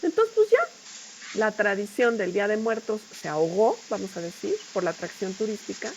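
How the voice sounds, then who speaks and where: A woman's voice: mid-range voice, animated, normal speed, a little hiss or hum, poor audio. A middle-aged woman with a Mexican accent teaching a class in an informal, discursive, conversational way, often checking in with short tag questions. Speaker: university lecturer.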